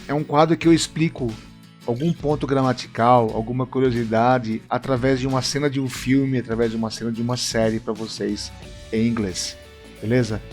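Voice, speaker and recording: A man's voice: medium pitch, darkish tone. A man from Brazil, an English teacher, giving a casual video lesson in a friendly, chatty way and speaking straight to his viewers.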